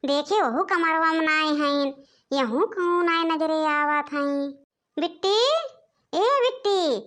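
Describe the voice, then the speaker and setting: A very high, bright young village sister-in-law, acted by a woman for a cartoon comedy. She is bossy and fretful, speaks with an Awadhi accent and repeats her calls insistently. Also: slow pace. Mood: searching, worried. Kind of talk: animated family comedy dialogue in cartoon.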